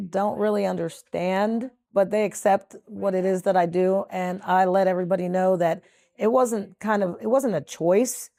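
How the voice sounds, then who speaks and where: A woman's voice: mid-range voice, gravelly, mellow, no room sound. A middle-aged woman from Trinidad and Tobago, a sanctuary founder speaking earnestly and reflectively in a documentary interview. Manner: earnest, reflective, with frequent fillers.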